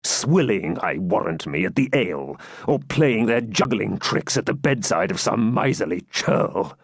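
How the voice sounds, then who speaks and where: A man's voice: medium pitch, sing-song, measured pace, gravelly, husky, no room sound, clean studio sound, voice pushed up, exaggerated voice. A man voicing a fierce, stubborn dying baron who growls out defiant speeches in an audiobook. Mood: scornful rage.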